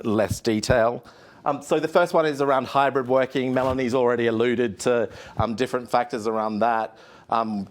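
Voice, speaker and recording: An Australian man speaking at a conference panel, measured, with many "um"s and restarts. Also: mid-range voice. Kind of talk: conference talk.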